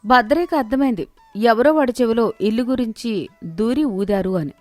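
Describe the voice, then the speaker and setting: A woman's voice: fairly high pitch, normal speed, darkish tone, soft background music. A woman narrating a scripted moral story as its storyteller.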